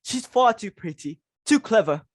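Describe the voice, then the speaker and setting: A woman's voice: medium pitch, animated, moderate pace, a little hiss or hum, close to an everyday voice. A woman playing a fussy, snobbish society hostess and mother in a dramatic reading of a stage comedy, speaking in a haughty, exclamatory way. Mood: fussy, disdainful.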